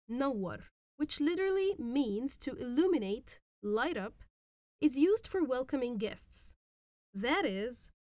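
Woman, podcast host, patient explanatory teacher, slightly high voice. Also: darkish tone, no room sound, clean studio sound. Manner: patient, explanatory, repeats words slowly. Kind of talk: language lesson explaining phrases.

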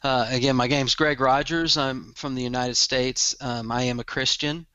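A man's voice: medium pitch, fairly even intonation, normal speed, bright, forceful tone, clean tone, no echo, lo-fi sound. A middle-aged man with a Texan accent, a podcast guest chatting casually and chuckling often as he talks. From Texas. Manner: easygoing, chuckles often, repeats words. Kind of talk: casual interview chat.

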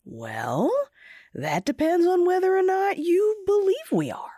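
A woman playing a wise, gentle imaginary friend in an audio fairy tale, patiently explaining in a husky, theatrical way. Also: very high voice, huge pitch swings, unhurried, no room sound, studio quality, heavily acted. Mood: earnest, explaining.

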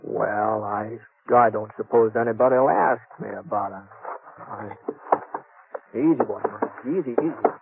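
A man's voice: fairly low pitch, vocal fry, very noisy. A man playing a modest, courteous drifting gunslinger in a 1950s radio western, speaking hesitantly and folksily. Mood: hesitant, polite. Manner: hesitant, halting, folksy drawl in phrasing. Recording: dull old 1950s radio recording.

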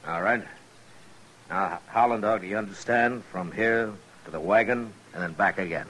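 An actor playing a calm, stern frontier marshal in a 1950s radio western, firm and understated. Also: male voice, lowish voice, normal speed, slight background noise, natural voice, low-quality recording. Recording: vintage radio recording, murmuring crowd.

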